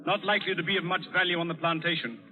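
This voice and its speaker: An actor playing a harsh, contemptuous colonel and planter in an old-time radio drama, barking orders imperiously. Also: male voice, high-pitched, steady tone, racing, lightly acted. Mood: contemptuous, commanding. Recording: tinny, band-limited vintage radio broadcast.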